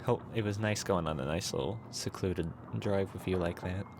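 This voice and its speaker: A soft-spoken man talking in a casual, rambling, teasing way, as in an ASMR boyfriend roleplay. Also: deep voice, moderate pace, creaky, background noise. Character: tired, affectionate furry boyfriend driving home at night. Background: background music.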